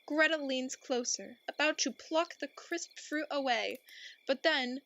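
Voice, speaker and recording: A woman's voice: high-pitched, measured pace, vocal fry, a bit bright, heavily acted. A woman playing an exasperated, gloomy storybook narrator in a radio play, theatrical and stern. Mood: ominous.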